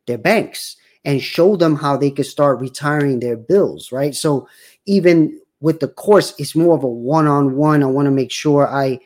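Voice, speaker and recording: A man's voice: fairly high pitch, darkish tone. Rambling man in his forties hosting. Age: 40s. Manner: rambling, self-correcting, many fillers. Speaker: show host. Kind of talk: casual livestream talk.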